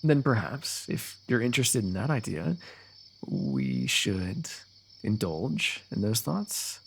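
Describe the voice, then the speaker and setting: A man playing a lovestruck, eloquent fantasy royal in a romantic ASMR roleplay, talking intimately and poetically with gentle teasing. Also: on the low side, very animated, slow pace, gravelly, slight background noise, exaggerated voice. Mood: wistful, flirtatious. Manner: intimate, poetic, talkative, softly amused.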